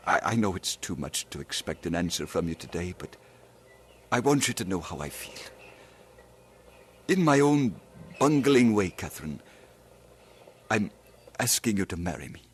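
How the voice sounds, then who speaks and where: Gentle Scottish preacher, earnest, halting, lowish voice, lively swings in pitch, faint background hiss, old radio play. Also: male voice. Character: earnest Scottish young male preacher in love. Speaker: minister proposing marriage. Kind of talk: 1950s radio drama acting.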